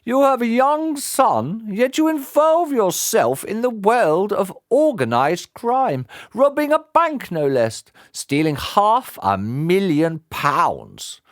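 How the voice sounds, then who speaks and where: A man plays an unsmiling old judge in a storybook, raised and slow, leaning hard on every word. His pitch rises and falls dramatically, and the recording is dry and close. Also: big pitch swings, no room sound, full character voice. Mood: stern. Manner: slow, pompous, reproachful.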